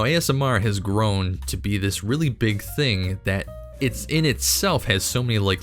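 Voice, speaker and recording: A man hosting a video channel, chatting casually in a rambling, jokingly incredulous way with frequent self-interruptions. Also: fairly low pitch. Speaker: YouTube cartoon channel host.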